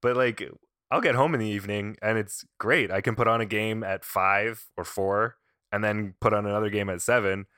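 A man's voice: fairly low pitch, steady tone, moderate pace, pushed and bright, clear, resonant tone, dry recording, studio quality. A man hosting a hockey podcast, chatting casually with a guest and laughing often as he tells stories. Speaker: podcast host. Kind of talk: casual chat.

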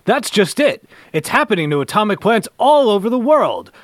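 A man's voice: high voice, big pitch swings, moderate pace, no echo, clean studio sound. A man playing an earnest, commanding scientist superhero who makes speeches in an amateur comic-book radio play. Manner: speechifying, declamatory.

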